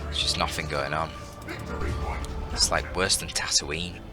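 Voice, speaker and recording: A man's voice: extremely low pitch, fairly even intonation, unhurried, music in the background. A man with a bunged-up voice giving casual, sweary, rambling commentary on a video game match with joking asides. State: congested, bunged-up voice.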